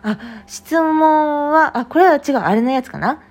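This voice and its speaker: Young female co-host, fairly high and highly expressive, eager delivery for casual chat and listener mail. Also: measured pace. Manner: animated, eager. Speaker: co-host of a two-woman talk show.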